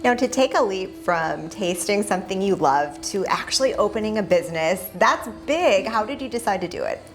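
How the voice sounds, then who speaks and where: A woman's voice: mid-range voice, expressive, moderate pace, faint music behind. A woman hosting a local TV feature, asking guests friendly, upbeat questions. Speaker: show host and interviewer.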